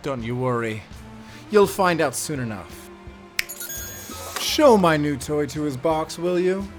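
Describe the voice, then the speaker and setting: A man playing a sadistic prison warden in an audio drama, gloating and mocking, with menacing, drawn-out delivery. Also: on the high side, lively intonation, over music. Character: gloating sadistic male prison warden who relishes power. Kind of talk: scripted audio drama acting.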